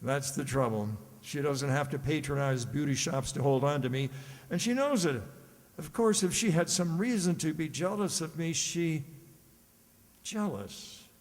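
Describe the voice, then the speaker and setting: A man's voice: fairly high pitch, lively intonation, unhurried. A man playing a stuffy, pompous middle-aged school principal in an old-style radio comedy, formal and given to hemming and hawing. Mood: confiding, pompous. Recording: echoey hall, long reverb.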